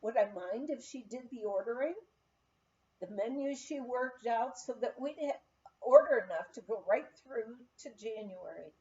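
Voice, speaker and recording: Female memoir reader, unhurried and mellow, expressive storytelling delivery, slightly lo-fi recording. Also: fairly high pitch. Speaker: audiobook reader.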